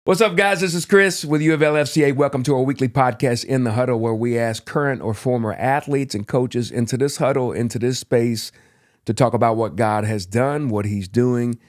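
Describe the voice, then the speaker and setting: A man's voice: mid-range voice, moderate pace, no echo, clean studio sound. A man hosting a faith-centered sports podcast, asking long, rambling conversational questions of his guest. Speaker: podcast host. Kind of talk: faith-focused sports interview.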